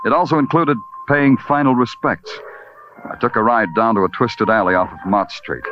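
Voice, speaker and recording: Low-voiced, wry radio noir detective. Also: male voice. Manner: deadpan, poetic, sardonic. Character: wry, world-weary hard-boiled male Broadway police detective. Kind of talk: 1940s-50s radio noir acting and narration. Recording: dull old radio broadcast with loud music.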